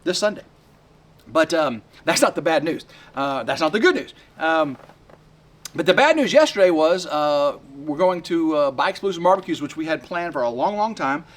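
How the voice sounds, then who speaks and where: A man in his fifties rambling excitedly to camera outdoors by his work shed, full of fillers and restarts. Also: a little high, moderate pace. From West Tennessee. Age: 50s. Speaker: homesteading vlogger. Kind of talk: rambling casual vlog to camera.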